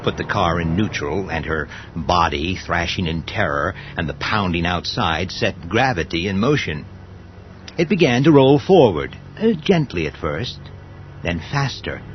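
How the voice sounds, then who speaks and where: Loud, scary music runs beneath a slightly dull recording. A man with a deep voice narrates a horror radio play, phrasing it deliberately and dramatically, with an ominous delivery.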